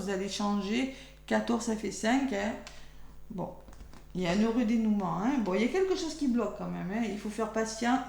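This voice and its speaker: A French woman with a nearly lost voice, speaking softly as she gives a chatty weekly tarot reading for her followers. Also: medium pitch. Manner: chatty, thinks aloud while drawing cards. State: losing her voice, talking softly. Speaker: tarot card reader. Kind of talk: weekly astrology card reading. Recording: echoey room with faint hum.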